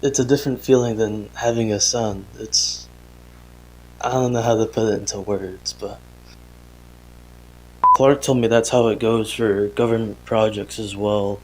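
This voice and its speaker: Low-voiced, halting young father played by a man. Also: steady tone, natural voice, low-quality recording. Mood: thoughtful, content. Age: young adult. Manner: halting, hesitant, sighing, self-interrupting. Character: earnest young father keeping a troubled audio diary. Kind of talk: analog horror audio drama, confessional voice log.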